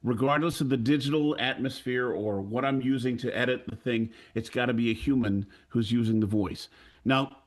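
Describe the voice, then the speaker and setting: Halting man in his sixties. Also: medium pitch. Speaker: audio drama creator on a panel. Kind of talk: earnest unscripted panel talk.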